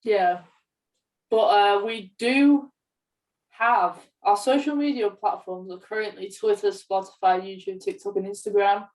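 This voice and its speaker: A woman's voice: medium pitch, fairly even intonation, measured pace, a little mellow. Woman podcasting, distant from the mic. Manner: self-deprecating, hesitant. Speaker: podcast co-host. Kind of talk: casual podcast chat.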